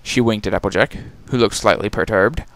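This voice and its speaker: A man's voice: on the low side, brisk, gravelly, dry recording. A man narrating a dramatic reading of a fantasy fan fiction story.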